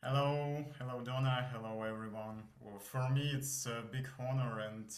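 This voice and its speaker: A man in his thirties from Russia with a Russian accent, a voice student telling his story in a podcast interview, halting and searching for words. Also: medium pitch, steady tone. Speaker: voice student guest. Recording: echoey room.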